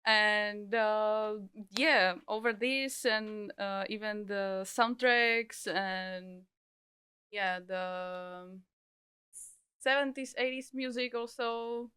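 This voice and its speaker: Chatty Czech-accented woman, smooth voice. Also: medium pitch, steady tone, drawn-out words, pushed and bright. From Czech Republic. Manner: chatty, laughing. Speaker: guest, back office of bakery. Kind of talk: casual interview chat. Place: bakery.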